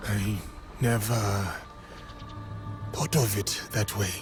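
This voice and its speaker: A man playing a solemn, gentle warrior in a historical game drama, speaking earnestly and in a measured way. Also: on the low side, steady tone, music in the background. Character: solemn, gentle, dutiful male warrior in feudal Japan. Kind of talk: restrained dramatic game cutscene acting.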